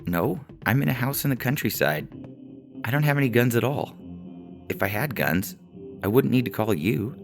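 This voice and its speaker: A man acts out a frightened, exasperated man in a sci-fi comedy story. His voice is pushed up high and turns frantic and slangy, with a gravelly edge and music clearly playing behind him. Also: expressive, moderate pace. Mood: panicked. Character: panicked young man besieged by zombies, exasperated caller.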